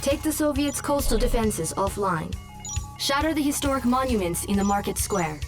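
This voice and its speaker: A woman giving clipped, crisp announcements and orders in a game. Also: fairly high pitch, animated, normal speed. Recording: loud music behind.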